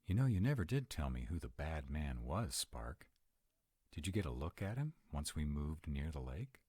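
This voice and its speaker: A man's voice: rumbling low, big pitch swings, normal speed, darkish tone, slightly rough, no echo, clean studio sound, full character voice. A man playing an earnest, dutiful young vampire in a roleplay audio drama, speaking hesitantly and protectively. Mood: uneasy, recounting.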